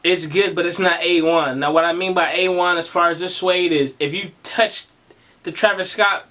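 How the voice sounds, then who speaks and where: A man, slightly raspy and under the weather with a cough, hosting a casual sneaker unboxing vlog in a slangy, laid-back way. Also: sits high, steady tone, moderate pace, bright, forceful tone, soft background music. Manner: slangy, laid-back, addresses viewers as y'all. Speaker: sneaker vlogger.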